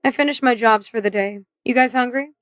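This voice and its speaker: A woman's voice: on the high side, fairly quick, a bit bright, no room sound, natural voice. A woman playing a cool psychopomp assassin in an audio drama, with a dry, teasing, reserved manner. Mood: dry, fond. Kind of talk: audio drama character acting.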